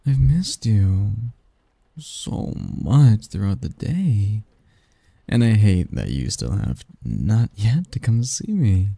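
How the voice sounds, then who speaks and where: A man voicing a seductive, doting sleep demon lover in an intimate ASMR roleplay, breathy and coaxing, close to the mic. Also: lowish voice, warm tone, no echo, heavily acted. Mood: tender, pleading. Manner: slow, coaxing, teasing, murmured. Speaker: roleplay audio performer. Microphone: close, dry microphone.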